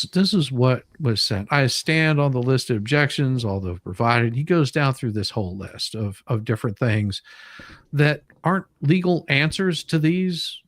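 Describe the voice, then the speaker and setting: A man with an average-pitched voice hosts a casual commentary. He reads from documents and breaks them down bluntly. The sound is dry, with little room on it. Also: no echo. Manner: blunt, mildly profane, explanatory. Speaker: online show host. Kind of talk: casual live commentary, reading documents aloud.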